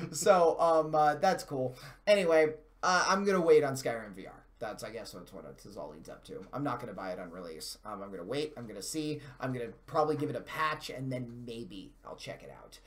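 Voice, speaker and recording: A man hosting a live stream with casual gaming commentary, talking in a chatty, self-deprecating and mildly sweary way. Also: medium pitch.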